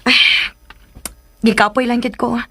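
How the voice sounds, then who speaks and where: Affectionate daughter, fairly high voice, Bisaya Filipino accent, sweet, radio drama. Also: female voice, flat delivery, measured pace, a bit bright, slight background noise. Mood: sweet, tired. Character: affectionate, thoughtful young daughter, sweet to her mother.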